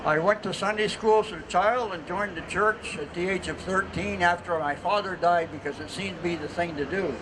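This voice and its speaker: A man's voice: sits high, moderate pace, few pauses. An older man giving a formal, recounting speech outdoors. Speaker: festival speaker. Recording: outdoor speech with traffic noise.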